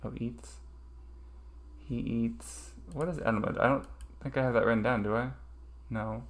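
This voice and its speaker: A man's voice: mid-range voice, fairly even intonation, a little slow. A man streaming a casual study session, thinking aloud hesitantly with lots of ums.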